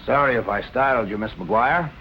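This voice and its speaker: A man playing a coolly polite, probing police captain in 1940s crime film style, questioning in measured tones. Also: medium pitch, lo-fi sound, close to an everyday voice. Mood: cool, insistent. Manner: measured, courteous, persistent questioning. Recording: noisy old 1940s film soundtrack.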